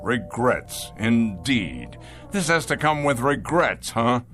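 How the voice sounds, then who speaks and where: An actor in a radio drama playing a ruthless, sarcastic male factory boss, speaking in a mocking, deliberate way. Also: medium pitch, sing-song, fairly bright tone, faint music behind.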